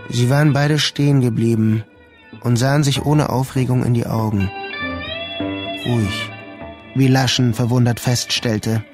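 Man, radio drama narrator, mid-pitched, sober, deliberate literary reading, clear background music. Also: steady tone. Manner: measured, sober, deliberate phrasing.

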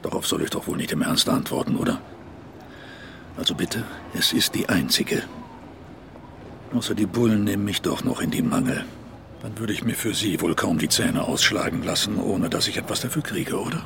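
An actor in a radio play voicing a burly, cocky blackmailer, blunt and demanding. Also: male voice, low-pitched, moderate pace, creaky, background noise. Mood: cocky, impatient.

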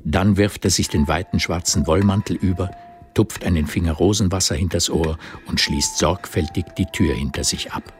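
A man's voice: deep voice, normal speed, faint music behind, close to an everyday voice. A man narrating a literary radio drama in scripted, present-tense prose.

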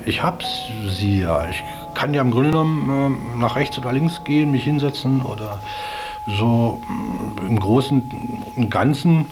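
A man's voice: medium pitch, animated, unhurried. A man talking spontaneously in an interview, thinking aloud in broken fragments. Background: music.

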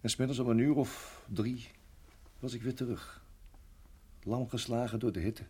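An actor in a radio play voicing a reflective, middle-aged married farmer who reminisces in a measured, halting way. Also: male voice, mid-range voice, steady tone, darkish tone, husky. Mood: sombre, halting. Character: weary, reflective married farmer recalling his years in Rhodesia.

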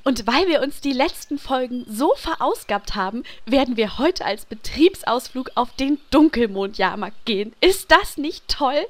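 Woman playing chirpy, lively, bossy troupe leader. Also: high-pitched, moderate pace, few pauses. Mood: cheerful. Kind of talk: parody audio drama acting.

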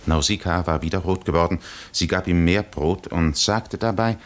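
An actor as the solemn storyteller of a 1950s radio drama, narrating a literary tale in a measured, deliberate way. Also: male voice, low voice, lightly acted.

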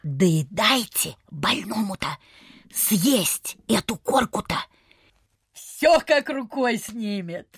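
A woman's voice: mid-range voice, animated, slow pace, vocal fry, breathy edge, no echo, clean studio sound, full character voice. An actress playing a smug, confiding provincial lady who peddles folk cures in a radio play, in knowing, old-fashioned folksy speech. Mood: confiding, self-important. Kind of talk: Soviet radio theatre acting.

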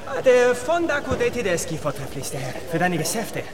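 An actor playing a pushy, obsequious Venetian guide and pimp, hesitant over foreign words, in a radio drama. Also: male voice, high-pitched, big pitch swings, brisk, crowd noise. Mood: boastful, eager. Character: fawning, pushy Venetian street guide and pimp touting to travellers.

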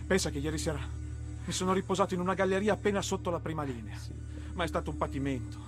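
An actor playing a frightened, suffering young soldier in the trenches in a theatrical radio drama. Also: male voice, fairly high pitch, rapid-fire, natural voice. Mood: weary. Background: music.

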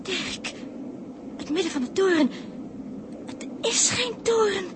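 A woman's voice: extremely high pitch, lively intonation, slow, full character voice. An actress playing an anxious, emotional woman in a 1960s radio play, breathless and dramatic. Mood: despairing. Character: anxious emotional woman watching a doomed comrade. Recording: noisy 1960s radio recording.

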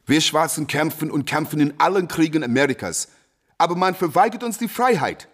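An actor in a German radio play voicing a proud, fiery young boxer who preaches emphatically with rhetorical repetition. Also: male voice, fairly high pitch, expressive, fairly quick, a bit bright. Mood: indignant, firm.

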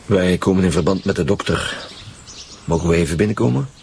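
A man with a Flemish accent playing a gruff, teasing homicide commissioner in a colloquial radio drama. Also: deep voice, fairly quick, a little mellow, a little hiss or hum. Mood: formal. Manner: colloquial, wry. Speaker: police commissioner.

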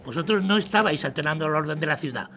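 Casting: actor as formal, resolute Spanish leader, creaky but forceful voice, audio drama. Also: male voice, on the high side, expressive, fast, faint music behind, exaggerated voice. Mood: resolute, stern. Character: resolute, formal man leading a workers' association.

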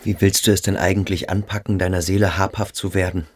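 A man's voice: low voice, flat delivery, fast talker. A man in a radio drama, playing a sceptical, troubled boarding-school boy, reserved and questioning. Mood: wary, questioning. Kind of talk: radio play acting.

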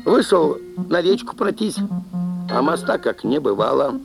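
A man narrating a folk tale as an animated storyteller in an audio play. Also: a little high, unhurried, gravelly, loud music behind the voice, exaggerated voice.

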